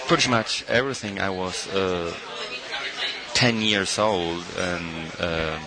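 A middle-aged man from Argentina, a guest artist in a radio interview, speaking thoughtfully with frequent pauses and fillers. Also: deep voice, a crowd in the background, rough recording. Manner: thoughtful, hesitant with many fillers.

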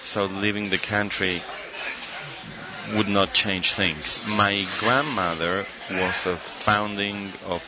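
A middle-aged man from Argentina, a guest artist in a radio interview, speaking thoughtfully with frequent pauses and fillers. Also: fairly low pitch, fairly even intonation, very noisy. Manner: thoughtful, hesitant with many fillers.

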